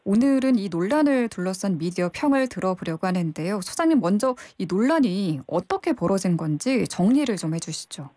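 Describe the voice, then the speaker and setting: A woman hosting a news radio program, speaking in a measured, polished announcer's delivery. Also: medium pitch, very animated, mellow, no room sound.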